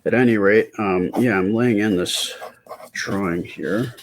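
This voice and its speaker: A middle-aged man talking softly and casually in ASMR style as he draws, with a quiet, hesitant, rambling delivery. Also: lowish voice, unhurried, a little hiss or hum. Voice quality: quiet, soft-spoken voice. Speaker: artist and channel host. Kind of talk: casual ASMR storytime while drawing.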